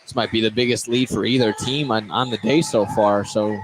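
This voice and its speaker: Conversational male color commentator over clear noise. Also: lowish voice, fairly even intonation, moderate pace, rough recording. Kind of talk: casual analysis during live basketball commentary. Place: indoor high school basketball game.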